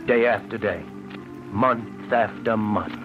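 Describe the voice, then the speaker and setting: An actor playing a brooding, prematurely aged ex-convict in a halting, insistent 1940s radio drama style. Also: male voice, lowish voice, steady tone, music in the background. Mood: bitter, brooding. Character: embittered, prematurely aged ex-convict, big and broken. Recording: muffled noisy old radio recording.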